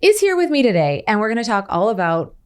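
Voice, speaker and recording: A woman's voice: a little high, sing-song, fast, darkish tone, smooth. Bubbly woman podcast host. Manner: bubbly, upbeat and gushing. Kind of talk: casual interview hosting.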